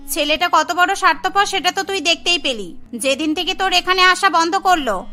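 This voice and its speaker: A woman's voice: extremely high pitch, fairly quick, sharp tone, faint music behind. A woman voicing a practical young female friend in a cartoon, scolding and encouraging. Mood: firm advice.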